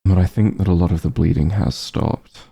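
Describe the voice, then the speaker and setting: A man playing a calm, caring back-room doctor who gently scolds an old friend in a softly spoken ASMR roleplay, soothing with dry bluntness. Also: full character voice. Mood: focused, gentle. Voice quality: low, gravelly, husky. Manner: soothing, unhurried bedside reassurance with dry bluntness.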